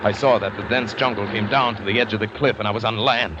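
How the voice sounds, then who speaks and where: A man's voice: on the low side, fairly even intonation, fast, noisy background, close to an everyday voice. An actor playing a shipwrecked American big-game hunter who narrates his ordeal dramatically in an old-time radio drama. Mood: desperate, relieved. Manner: dramatic, vivid storytelling. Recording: dull vintage radio recording, music behind.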